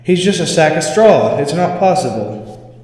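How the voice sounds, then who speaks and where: A man's voice: fairly high pitch, very animated, unhurried, a little mellow, pitched above the performer's own voice. A man reading the part of a wary, doubting cowherd in a spooky storybook tale. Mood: startled doubt. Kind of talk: storybook character dialogue. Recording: echoey reverberant hall sound.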